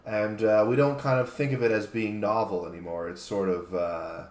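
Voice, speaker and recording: Male panelist, fairly deep, conversational, audible room echo. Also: fairly even intonation, normal speed, darkish tone. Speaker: show panelist. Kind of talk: casual chat. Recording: roomy, echoing voice pickup.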